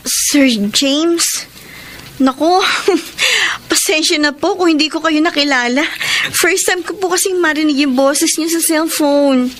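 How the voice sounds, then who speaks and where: High-voiced, hesitant young Filipina. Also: female voice, a little slow, a bit bright. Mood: surprised, polite. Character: polite, hesitant young Filipino woman being courted. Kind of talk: Filipino radio drama acting.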